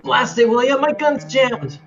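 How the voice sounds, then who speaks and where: A man's voice: very high-pitched, fairly even intonation, a little slow, gravelly, fairly bright tone, exaggerated voice. A man playing an earnest, anxious young farm-boy hero in a table read of a space adventure script. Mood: urgent. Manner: earnest, urgent. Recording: dull lo-fi audio with music.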